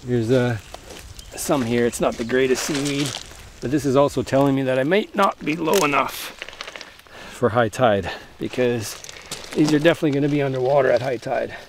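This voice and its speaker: Outdoor vlogger, male, relaxed musing delivery, mid-pitched, clear background noise and crinkling. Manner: relaxed, thinking aloud while working. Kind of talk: casual narration to camera. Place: rocky seashore outdoors. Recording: noisy outdoor recording.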